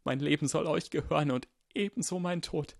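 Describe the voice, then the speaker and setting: A man voicing a desperate, intense teenage boy in an audiobook, with a high, shrill, overwrought voice. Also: brisk, gravelly, dry recording, studio quality. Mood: pleading. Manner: stammering pleas, spitting insults. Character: desperate teenage boy, pleading, turning to shrieking rage.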